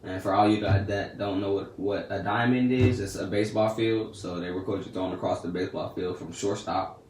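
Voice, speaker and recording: A man's voice: deep voice, steady tone, a little fast, a little mellow, roomy sound, distant mic, slight background noise. A teenage boy telling a story to camera in a casual, slangy, chatty way. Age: teens. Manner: laid-back, slangy and chatty. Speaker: vlogger.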